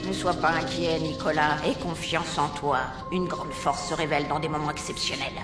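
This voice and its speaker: Man voicing a calm, echoing wise mentor. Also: on the high side, moderate pace, close to an everyday voice. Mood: soothing. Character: calm, wise fatherly mentor, solemn and reassuring. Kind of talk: French animated cartoon dubbing. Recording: echoing voice under loud music.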